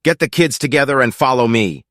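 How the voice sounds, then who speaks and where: A man voicing a tense, commanding security operative who is trying to keep people calm in an audiobook story. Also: mid-range voice, brisk, no room sound, clean studio sound, voice pushed up, natural voice. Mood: forced calm. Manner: urgent, commanding. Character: tense male security operative, gruff, urgent, forcing calm.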